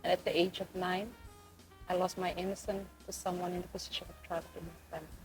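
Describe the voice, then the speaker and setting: A woman's voice: on the low side, level tone, fast talker, soft background music, poor audio. A woman in her late twenties from Fiji, giving a personal account as an interview guest. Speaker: podcast guest, postgraduate student. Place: couch in a room.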